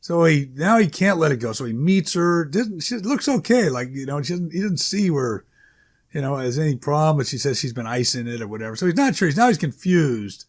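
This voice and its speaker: A man giving an informal, unscripted author's introduction to his writing, rambling and halting as he corrects himself. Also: fairly high pitch, expressive. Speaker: author introducing own short story.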